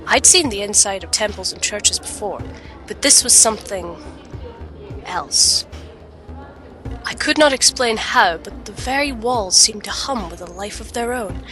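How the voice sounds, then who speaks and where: A woman's voice: mid-range voice, a little slow, pushed and bright. A woman playing a thoughtful, questioning young adventurer in a fantasy audio drama, speaking earnestly and reflectively. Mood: awed, reflective. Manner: earnest, reflective, literary phrasing. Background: music.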